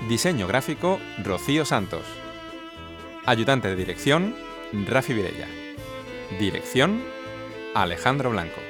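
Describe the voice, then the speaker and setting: A man reading scripted credits and announcements as an announcer. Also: mid-range voice. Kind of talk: scripted narration. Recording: clear music bed behind.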